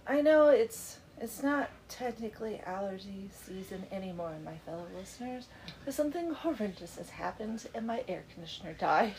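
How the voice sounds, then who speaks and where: A woman's voice: mid-range voice, lively intonation, normal speed, darkish tone, rough recording. A middle-aged woman with a stuffy, congested voice hosts a casual book podcast from her basement at home. She talks in a chatty, easygoing way and laughs easily, with faint ambient noise in the background. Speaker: podcast host. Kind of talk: casual book club chat.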